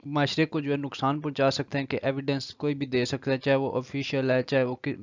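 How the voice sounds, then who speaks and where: A man with a Pakistani accent giving an explanatory law lecture, deliberately reading legal text, then repeating and rephrasing each point. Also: medium pitch, completely flat delivery. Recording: echoey room, dull lo-fi audio.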